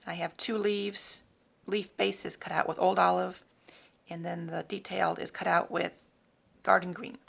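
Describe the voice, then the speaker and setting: A woman hosting a casual craft tutorial in a raspy, hoarse voice, talking informally and a little haltingly as she works. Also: on the low side, little pitch movement, a bit bright. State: hoarse, throat-clearing from long talking. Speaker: host of a papercraft tutorial. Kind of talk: casual craft demonstration, talking while working.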